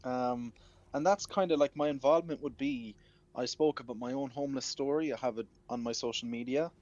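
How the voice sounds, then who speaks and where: A man's voice: mid-range voice, fairly quick, darkish tone. A man with an Irish accent chatting casually as a musician guest interviewed over the telephone. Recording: dull lo-fi phone line.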